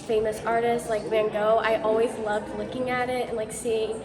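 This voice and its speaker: A teenage girl earnestly describing her love of art, full of fillers. Her voice is slightly high and quite flat. It is recorded in a reverberant hall with loud ambient noise. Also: normal speed. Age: teens. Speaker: art student. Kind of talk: interview answer.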